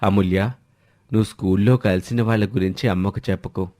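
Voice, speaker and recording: A man's voice: sits low, fairly even intonation, brisk, dark tone, no echo, studio quality, close to an everyday voice. A man voicing a secretive young husband and father who talks in a reassuring, persuasive way in a story. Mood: placating.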